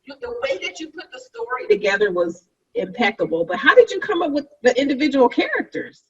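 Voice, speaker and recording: Woman, high voice, animated intonation, casual, emphatic, dull distant call audio. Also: brisk. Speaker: cast member. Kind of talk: casual chat.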